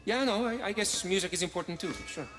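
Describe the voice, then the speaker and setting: Casting: male actor as a jittery conspiracy-minded nerd, stammers, higher and lively, reverberant room. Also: quick pace, close to an everyday voice. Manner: hesitant, stammering. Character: nervous nerdy young male tech guy, conspiracy-minded. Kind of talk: video game cutscene acting. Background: game music.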